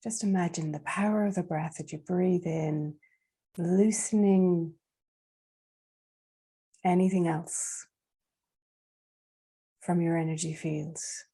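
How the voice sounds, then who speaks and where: A woman leading a guided meditation in a soft, breathy, soothing voice, leaving long unhurried pauses. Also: fairly low pitch, steady tone, rough recording.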